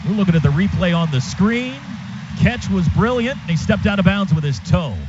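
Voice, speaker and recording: A man with a fairly high voice calls an American football game play by play. His phrasing is clipped and descriptive, as on live radio. The broadcast sound is dull, with clear stadium noise behind him. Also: expressive, normal speed.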